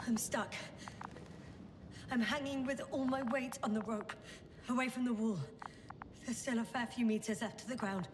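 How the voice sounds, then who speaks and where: An actress playing a composed, wry woman scientist exploring a planet in a video game, speaking in a measured, dry way. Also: a little high, steady tone, noisy background, lightly acted. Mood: strained, exasperated.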